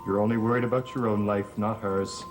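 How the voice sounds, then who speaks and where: A woman's voice: very deep voice, fairly even intonation, fairly quick, a little mellow, soft background music. A woman playing an anxious, accusing young daughter with intense melodrama in a vintage gothic TV soap. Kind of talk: 1960s gothic soap opera acting.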